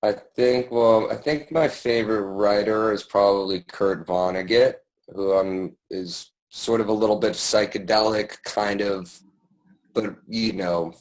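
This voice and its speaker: A man's voice: fairly low pitch, fairly even intonation, measured pace, rough recording. A man in his thirties, a music producer and DJ, giving thoughtful, hesitant interview answers full of fillers and restarts. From born in London, raised in Paris and New York. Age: 30s.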